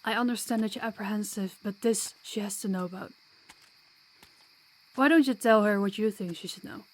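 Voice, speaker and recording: A woman's voice: medium pitch, normal speed, a little hiss or hum, natural voice, rough recording. Female vampire guide voiced by a woman, mid-range voice, chatty, kind and teasing, for an audio roleplay. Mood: earnest, reassuring. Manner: chatty, reassuring, playful.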